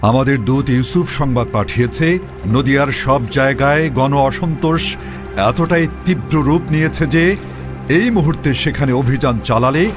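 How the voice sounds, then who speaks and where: Man voicing a grave cartoon advisor, mid-pitched, clear music underneath. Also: normal speed, natural voice. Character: grave male royal advisor, bearer of bad news. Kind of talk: animated cartoon voice acting. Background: background music.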